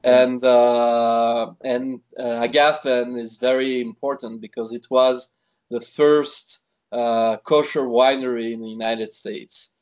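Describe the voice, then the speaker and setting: Swiss-born man, guest in a casual interview, talky, halting with fillers. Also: medium pitch, level tone, darkish tone. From Switzerland. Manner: chatty, many fillers and restarts. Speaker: wine expert guest. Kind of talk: conversational interview.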